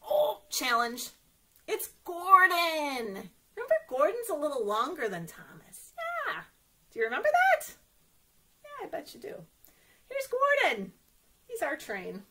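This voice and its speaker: A woman's voice: high voice, huge pitch swings, a little slow, pauses often, bright, forceful tone. A woman reading a picture book aloud at story time for young children, with sing-song, exaggerated expression and frequent little check-in questions.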